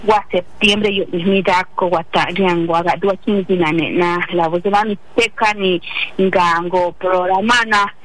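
A woman's voice: on the low side, fairly even intonation, unhurried, a little hiss or hum, poor audio. A woman radio announcer from Oaxaca whose first language is Triqui. She talks casually and leans on fillers, and her voice comes in over a radio link. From Oaxaca, Mexico. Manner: casual, many "este" fillers.

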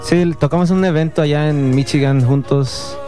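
A man's voice: a little high, normal speed, mellow, soft background music. A man in his twenties, a band member, answering interview questions in an earnest, grateful way. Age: 20s.

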